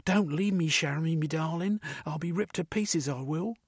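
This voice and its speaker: A woman's voice: deep voice, normal speed, husky, no room sound, studio quality, pitched above the performer's own voice. A man voicing a frightened working-class woman in a storybook reading, pleading and clingy as she begs not to be left behind.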